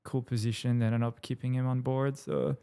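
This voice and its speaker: A young man from New Caledonia with a French accent tinged with Australian, talking in a relaxed, rambling way as an interview guest. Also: medium pitch, a little fast, vocal fry, clear, resonant tone. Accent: French, with some Australian. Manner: relaxed, rambling, laughs easily. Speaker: guest, musician. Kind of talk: casual interview chat.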